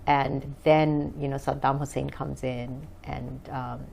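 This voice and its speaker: A woman's voice: extremely low pitch, flat delivery, slow, gravelly, a little mellow, slight background noise. A woman from Bangladesh answering conversationally as an interview guest, hesitant as she searches for words. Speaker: interview guest, author and English teacher.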